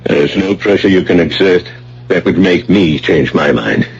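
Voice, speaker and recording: An actor playing a principled, stubborn professor in a radio play, husky, formal and firm. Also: male voice, deep voice, lively intonation, measured pace, heavily acted. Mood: indignant, resolute. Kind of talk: radio drama acting. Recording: dull old radio broadcast.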